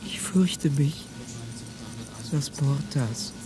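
A higher male voice, drawn out very slowly, plays a frightened, tormented man. He pleads in anguish as in a radio drama, with music clearly behind him. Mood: afraid, pleading. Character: fearful, tormented man pleading against his own betrayal. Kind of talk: slow dramatic radio play speech.

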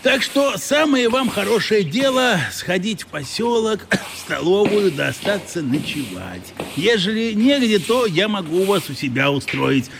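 A man acting a kindly, folksy rural local with a gravelly voice in a radio play. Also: high voice, very animated, measured pace, background noise, exaggerated voice. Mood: hearty, helpful. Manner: colloquial, folksy.

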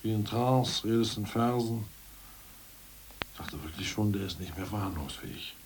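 An actor playing a scheming, self-assured ousted party official in a radio drama, brisk and mocking, who slips into bureaucratic jargon. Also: male voice, on the low side, noisy background, lightly acted. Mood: casual. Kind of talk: 1980s radio drama acting.

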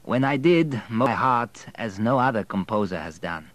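A man's voice: mid-range voice, animated, a little slow, fairly bright tone, dry recording. A man voicing a proud, earnest young Polish immigrant suitor with a slight accent and a formal, pleading manner. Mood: reflective, proud.

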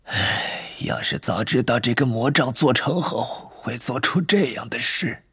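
Eccentric old storybook wandmaker: husky and breathy, highish swooping pitch. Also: male voice, animated, bright, forceful tone, dry recording, clean studio sound, heavily acted. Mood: wistful. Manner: slow, drawn-out, swooping delivery with muttered asides. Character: eccentric old male wandmaker, keen and theatrical.

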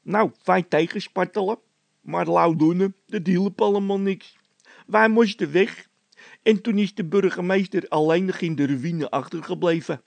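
A hot-headed, scornful workman from a comic storybook, acted out by a man. The voice sits very high and pushes out hard in indignant, scolding bursts. It sounds close and dry. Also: unhurried, gravelly, no echo. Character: hot-tempered, mocking workman with a scolding streak. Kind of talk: character voice in a read-aloud comic story.

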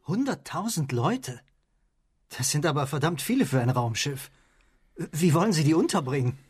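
An excitable, inventive scientist in a radio drama, his voice pitched on the high side. He is sceptical and thinks out loud, in hesitant bursts. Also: normal speed, breathy edge. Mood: incredulous, sceptical. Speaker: actor in radio play. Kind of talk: German radio play acting.

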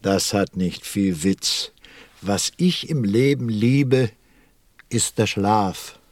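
An actor playing a weary, dutiful lamplighter in a German audio drama, with a resigned, grumbling, literal-minded manner. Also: male voice, fairly low pitch, very slow, no echo.

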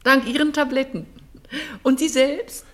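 A woman's voice: high-pitched, fairly even intonation, measured pace. An actress playing a middle-aged, protective and prying mother in a German radio play, with a theatrical, pointed delivery. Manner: theatrical, pointed, insistently questioning.

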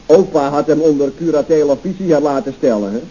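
A dry, needling nephew, mellow-voiced. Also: male voice, a little high, a little fast, husky. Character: dry, needling nephew who contradicts his uncle. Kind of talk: 1970s Dutch radio comedy acting. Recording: muffled, hissy old radio recording.

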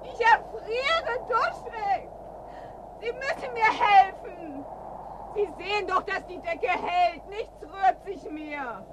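Determined female scientist, very high voice, indignant and formal, radio drama, heavy outdoor noise behind. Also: slow pace. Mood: desperate pleading. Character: determined female climate researcher in an icy ruined town. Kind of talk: German radio drama acting.

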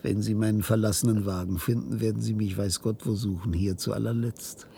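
An actor playing a weary, guilt-ridden old inventor in a science-fiction radio drama, halting and theatrical. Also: male voice, on the low side, steady tone, gravelly, a little hiss or hum. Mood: despairing, resigned. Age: older. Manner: halting, theatrical delivery with hushed passages.

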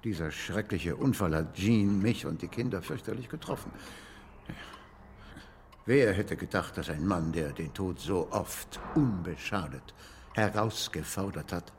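An actor playing an irascible elderly gentleman author in a radio drama, with a brusque, imperious manner. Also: sits low, a little slow, gravelly, big-room sound, slight background noise. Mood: mournful, admiring. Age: older. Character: irascible elderly English gentleman author, gruff, easily nostalgic. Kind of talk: German radio drama acting.

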